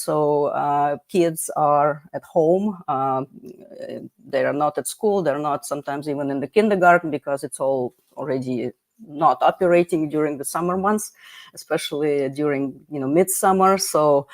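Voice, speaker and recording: Chatty woman host, lowish voice. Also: no room sound. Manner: chatty, filler-heavy, with many "you know"s. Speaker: livestream host. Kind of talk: hosting a live online talk. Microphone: video call.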